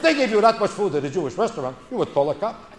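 An American man delivers a stand-up routine in a fairly high voice. He rants emphatically and indignantly, hammering phrases by repeating them. The sound is echoey, with clear noise behind him. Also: sing-song, rapid-fire, crowd noise. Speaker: stand-up comedian. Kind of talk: stand-up comedy routine, ranting observational bits. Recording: echoey live room, clearly noisy recording.

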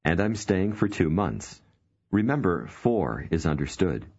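A man narrating a language course, giving clear, measured cues to repeat. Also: low voice, sing-song, a little mellow. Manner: slow, clear prompting cues. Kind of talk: scripted instruction. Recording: dull lo-fi studio recording.

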